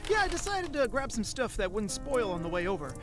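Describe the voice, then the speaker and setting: A man performs an ordinary, lovestruck young guy in an audio drama. His voice sits high and swings freely between playful and earnest, with music playing underneath. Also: sing-song, fairly quick. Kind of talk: indie audio drama acting with first-person narration.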